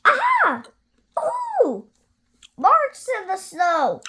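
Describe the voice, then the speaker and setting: A woman voicing a brave, excitable young girl monster in a storybook, exclaiming and asking wide-eyed questions. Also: very high voice, extremely slow, pitched above the performer's own voice, heavily acted. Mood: excited.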